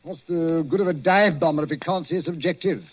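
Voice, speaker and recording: An actor plays a brusque, officious army colonel in an old radio drama. His voice sits a little high, and the recording is muffled and lo-fi. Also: fast, lightly acted. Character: stern older British army colonel. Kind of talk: 1940s radio acting. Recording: lo-fi 1940s radio broadcast.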